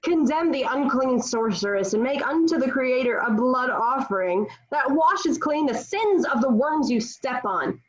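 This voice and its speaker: Woman playing proud sharp-tongued young heroine, high-pitched, declamatory, defiant, dramatic table read, muffled recording. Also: animated, a little slow, hardly any pauses. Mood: scornful, fiery. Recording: dull, lo-fi video call audio.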